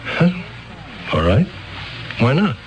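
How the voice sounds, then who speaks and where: An actor playing a flustered defense attorney who stammers and sounds excitable and incredulous in a 1970s radio drama. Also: male voice, mid-range voice, slow pace, rough recording.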